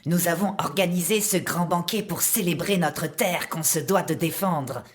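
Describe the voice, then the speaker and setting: A woman's voice: fairly low pitch, normal speed, lightly acted. A woman voicing a radish leader who rallies cousin vegetables with a grandiloquent speech in a children's cartoon. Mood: solemn, rallying.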